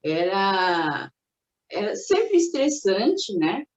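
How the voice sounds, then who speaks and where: A woman's voice: medium pitch, sing-song, very slow. An older woman born in Japan, speaking as an interviewee and recalling her family's past in a reflective, hesitant way with many restarts. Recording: roomy, dull recording.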